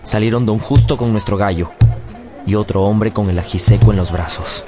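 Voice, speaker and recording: An actor narrating a radio drama as a man solemnly recounting a boyhood memory in measured, literary phrasing. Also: fairly low pitch, close to an everyday voice. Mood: tense, dramatic. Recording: loud music bed behind studio voice.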